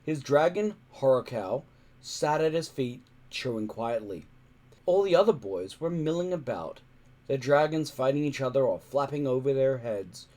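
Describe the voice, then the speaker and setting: A man reading a children's adventure story aloud in expressive narration. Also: medium pitch, normal speed. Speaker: reader.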